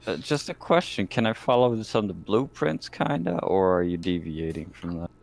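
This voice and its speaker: A man's voice: low-pitched, moderate pace, creaky. A man playing a tabletop roleplaying game, chatting casually and swearing freely.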